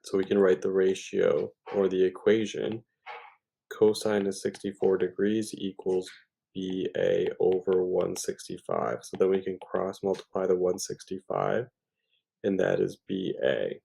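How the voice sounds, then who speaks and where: A man with a low, slow voice works through a math problem step by step as a tutor. His tone is dark and mellow, and he thinks aloud informally, pausing and correcting himself as he goes. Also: steady tone, vocal fry, slightly rough, poor audio.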